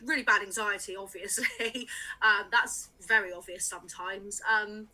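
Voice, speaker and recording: Bright-voiced chatty woman in her late twenties. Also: medium pitch, unhurried, rough recording. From England. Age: late 20s. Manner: chatty, frequent laughs and fillers. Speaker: music writer, podcast guest. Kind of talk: casual interview chat.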